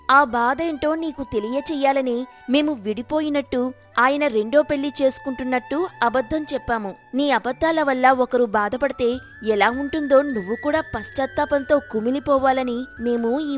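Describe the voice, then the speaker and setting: A woman plays a young village wife from a moral tale. She speaks firmly and with reproach, explaining in a slightly raised pitch over faint music. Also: natural voice. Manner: firm, explanatory. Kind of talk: acted moral story dialogue.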